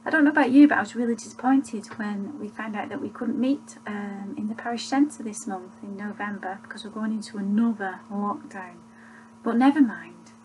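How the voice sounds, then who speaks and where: Gentle woman talking to children. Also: on the high side, a little hiss or hum, low-quality recording. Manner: gentle and storytelling, asks children leading questions. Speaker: children's church leader. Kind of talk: children's talk for the week. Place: small room at home, indoors. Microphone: webcam at desk distance.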